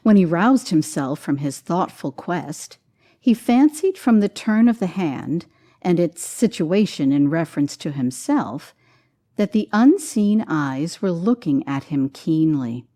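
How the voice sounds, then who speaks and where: A woman reading a classic story as a dramatic narrator, deliberate and expressive, with weighty pauses. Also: on the low side. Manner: deliberate, expressive, pausing on each item. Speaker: storyteller, reader.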